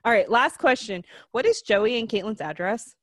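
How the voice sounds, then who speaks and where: A woman's voice: on the high side, expressive, normal speed, dry recording, studio quality. A woman hosting a casual podcast, upbeat and running a trivia quiz with her guests. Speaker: podcast host.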